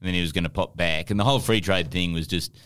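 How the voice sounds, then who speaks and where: A man with an Australian accent co-hosting satirical news banter, excitable and given to mock-grand emphasis. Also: low-pitched, quick pace, smooth. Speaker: podcast co-host.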